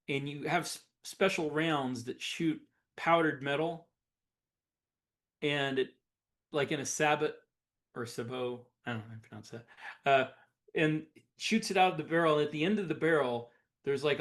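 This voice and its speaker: Male podcast co-host, voice a little on the high side, chatting casually and reworking sentences mid-thought. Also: fairly bright tone. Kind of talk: casual chat.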